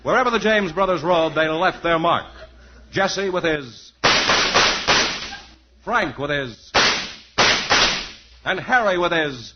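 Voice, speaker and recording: A man's voice: a little high, lively intonation, slow, long pauses, very noisy. A man announcing a radio sketch with grandiose, mock-serious scripted narration. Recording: dull old radio recording, loud music.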